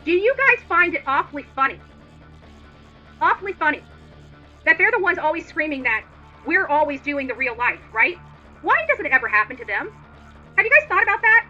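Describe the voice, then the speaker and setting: Very high, piercing, combative woman ranting. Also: sing-song, fairly quick, long pauses, bright, forceful tone, dull audio, soft background music. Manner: combative, indignant, emphatic and repetitive. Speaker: livestream host. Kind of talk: opinionated livestream rant.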